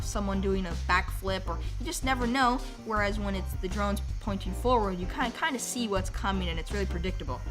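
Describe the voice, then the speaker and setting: A young woman vlogger, a bit raspy and slightly sick with a stuffy nose, chatting casually with lots of fillers. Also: mid-range voice. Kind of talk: casual vlog voiceover and to-camera talk. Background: music.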